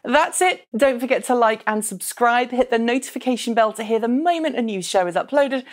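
A woman in her thirties narrating a scripted news explainer in an articulate, measured presenter's delivery. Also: fairly high pitch, expressive. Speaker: presenter of an online transport news show.

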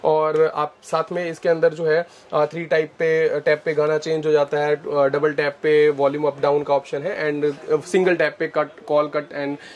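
A man's voice: a little high, flat delivery, measured pace, few pauses, darkish tone, muffled recording. Young man giving a warehouse sales tour. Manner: explanatory sales talk with many fillers. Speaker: warehouse business owner. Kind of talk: product walkthrough. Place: large indoor warehouse with shelves. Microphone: lapel mic.

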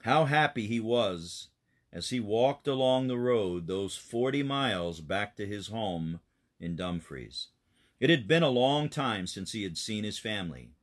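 Expressive man reading a children's story. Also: fairly low pitch.

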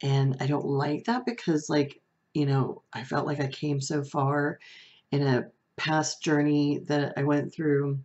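Soft-voiced, low, mellow woman. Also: steady tone, a little slow, lo-fi sound. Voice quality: soft, comforting voice. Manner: reflective, hesitant, with fillers and restarts. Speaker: beauty vlogger. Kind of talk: casual talk to camera. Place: small room at home, indoors.

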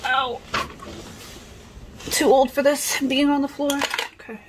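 A woman's voice: sits high, little pitch movement, slow. A woman in her thirties chatting casually as a nail vlogger, rambling and questioning herself as she thinks aloud. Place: home nail studio room. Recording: clear background noise with clicks.

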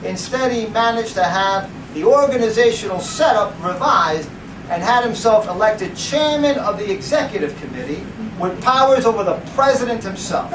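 A middle-aged man giving a dramatic, deliberate reading to a live audience, in a theatrical, declamatory way. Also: high voice. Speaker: presenter reading at a book event. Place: indoor library event room. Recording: roomy, noisy, distant camera microphone.

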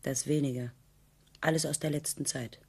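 An actress playing an ironic, poised newlywed wife who needles and probes in a radio play. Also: female voice, rumbling low, steady tone, moderate pace, darkish tone, husky, full character voice. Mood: wistful, insinuating. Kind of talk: German radio play acting.

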